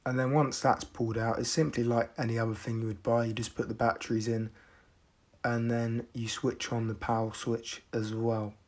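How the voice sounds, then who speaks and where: A man from the UK narrating a casual product review in a measured, deadpan manner. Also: mid-range voice. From United Kingdom.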